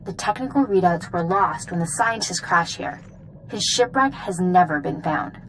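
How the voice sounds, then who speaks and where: Earnest military knight, brisk briefing delivery, authoritative, lowish pitch, faint background noise. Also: female voice, animated, a bit bright, rough recording. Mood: resolute, urgent. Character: resolute female Jedi Knight, military commander. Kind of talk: video game dialogue acting.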